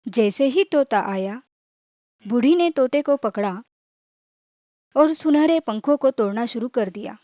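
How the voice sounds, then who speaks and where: A woman narrating a scripted children's moral story as a storyteller, in measured, clearly phrased reading. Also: a little high, animated, dark tone, no room sound, studio quality.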